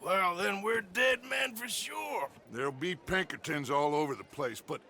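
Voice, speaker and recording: An actor playing a proud outlaw gang leader in a Western video game, declamatory and authoritative. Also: male voice, high voice, normal speed, creaky, bright, forceful tone, a little hiss or hum, full character voice. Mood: grave, commanding. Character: commanding, proud male outlaw gang leader of the Old West. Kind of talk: video game cutscene acting.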